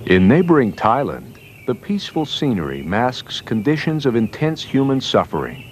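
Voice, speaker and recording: A man's voice: medium pitch, big pitch swings, moderate pace, slight background noise. A man reading scripted documentary narration as a newsreel narrator. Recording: music bed under narration. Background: background music.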